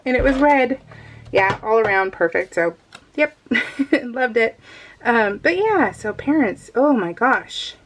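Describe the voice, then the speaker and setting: A middle-aged woman chatting casually and informally as she narrates a craft vlog, telling stories in an animated, giggly way. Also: on the high side, takes it slowly, slight background noise. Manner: giggly, animated storytelling with quoted exchanges. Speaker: craft vlogger.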